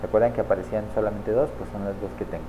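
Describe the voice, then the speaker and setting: A man with a fairly low voice talks through a screen-share tutorial at his desk, relaxed and conversational, pausing often to check that viewers follow. The audio is a bit dull with a faint hum of the room behind him. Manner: casual, talks viewers through clicks with frequent "¿vale?" and "¿sale?" checks. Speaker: online marketing tutor. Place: small indoor room at a desk.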